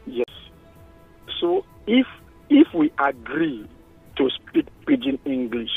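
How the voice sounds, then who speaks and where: A man's voice: mid-range voice, wildly swooping pitch, slow pace, creaky, husky, phone-quality sound, faint music behind. A man from Cameroon calling a radio show, playful and mixing in French. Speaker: caller. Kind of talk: casual phone-in chat.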